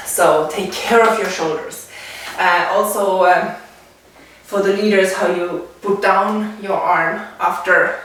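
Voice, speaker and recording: A woman from Finland with a Finnish accent, leading an exercise demonstration as a dance and fitness instructor, speaking haltingly in short phrases with pauses. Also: fairly low pitch, fairly bright tone. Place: indoors at home, away from the mic. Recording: echoey room, distant mic, faint noise.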